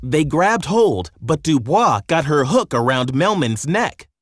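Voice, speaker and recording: Animated male children's storybook narrator. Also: on the high side, measured pace, no echo, clean studio sound. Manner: expressive storytelling.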